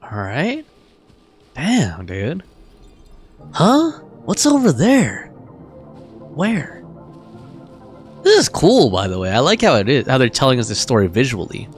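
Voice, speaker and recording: Woman, game streamer, low-pitched, very animated intonation, casual slangy reactions, background music. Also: takes it slowly, long pauses. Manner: slangy, wondering aloud. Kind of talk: casual live reaction commentary.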